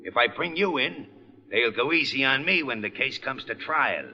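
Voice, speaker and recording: An actor playing a swaggering, double-crossing gangster in an old radio drama, tough, slangy and sneering. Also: male voice, medium pitch, expressive, normal speed, lightly acted. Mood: cocky menacing. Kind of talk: old-time radio crime acting. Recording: muffled vintage radio recording.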